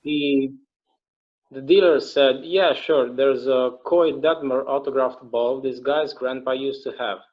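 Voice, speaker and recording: Man acting as wistful weary gamer, casual halting delivery, conversational drama read, slightly dull lo-fi recording. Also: mid-range voice, fairly even intonation, close to an everyday voice. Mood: frustrated, resigned.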